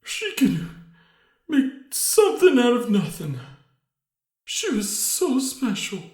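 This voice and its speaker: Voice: medium pitch, wildly swooping pitch, takes it slowly, darkish tone, echoey room. An actor playing a heartbroken, hot-tempered snowman in a comic noir radio drama, melodramatic and given to repeating words in outbursts. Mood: lovelorn.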